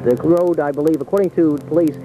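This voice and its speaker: A male radio news reporter speaks live from the field with a very dull, dark tone. His delivery is improvised and slightly halting, with formal wording. The sound is an old radio recording with faint noise. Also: a little high, steady tone. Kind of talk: live on-scene reporting and brief street interviews. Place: outdoors at an airport. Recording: muffled, noisy 1980s radio aircheck.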